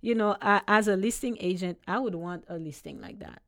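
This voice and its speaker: A woman's voice: mid-range voice, brisk, fairly bright tone. A woman from Haiti with a Haitian and Caribbean island accent, a realtor chatting in an interview, laughing often and repeating words as she thinks. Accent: Haitian, with U.S. Virgin Islands Caribbean touches. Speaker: realtor guest. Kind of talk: casual interview chat.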